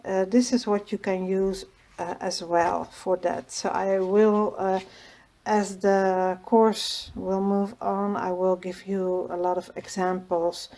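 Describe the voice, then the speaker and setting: A woman with a Dutch accent, a native Dutch speaker, hosting a casual crafting tutorial in a hesitant way, with many pauses and fillers and small laughs. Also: medium pitch, little pitch movement, warm tone. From Netherlands.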